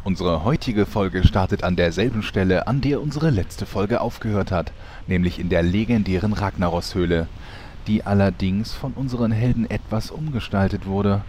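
A man's voice: on the low side, moderate pace, darkish tone, a little hiss or hum. A man narrating a comedy audio drama episode, greeting listeners as a cheerful presenter. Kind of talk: scripted narration.

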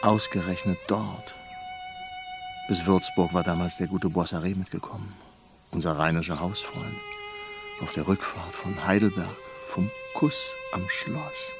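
The brooding inner voice of a wistful man in a radio drama. He muses in a very low register, with music clearly playing behind him. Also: unhurried.

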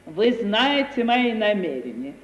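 An actress playing a sanctimonious, self-pitying middle-aged widowed landowner in an affected, theatrical radio comedy manner. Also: female voice, mid-range voice, big pitch swings, lo-fi sound. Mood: pleading, self-righteous. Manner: theatrical, affected, drawn-out self-justifying speech. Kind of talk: 1950 Russian stage-comedy radio acting. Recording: echoey hall, noisy 1950 radio recording.

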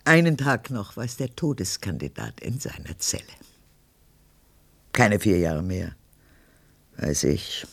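Radio play: fussy ancient woman, low gravelly voice, dramatic swoops, grumbling and haunted by death. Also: very animated, takes it slowly, pauses often, no room sound, exaggerated voice. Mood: dread, defiant. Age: older. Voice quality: gravelly, husky. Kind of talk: literary radio drama monologue.

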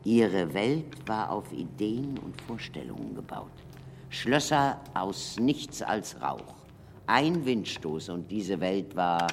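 An older man playing a grave scholarly excavator in a radio drama, speaking emphatically and deliberately with dramatic pauses. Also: sits low, very animated, gravelly, slight background noise, full character voice. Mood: musing. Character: grave scholarly excavator recounting a civilisation's collapse. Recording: reverberant hall, music underneath.

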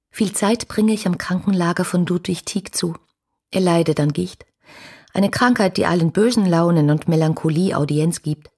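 An actress playing an ardent, devoted young romantic woman in a literary radio drama, earnest and intimate, with a breathy, slightly husky voice. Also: lowish voice, a little mellow, exaggerated voice. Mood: reflective, admiring. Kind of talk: literary radio drama monologue, read letters.

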